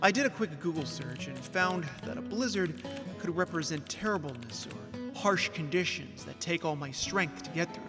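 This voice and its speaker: Casting: male actor for a lonely everyman in an audio play, high male voice, earnest, slightly hesitant, music underneath. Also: lively intonation, moderate pace. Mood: gloomy, sardonic. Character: ordinary lonely young man, earnest, frustrated office worker. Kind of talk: audio drama dialogue and first-person narration.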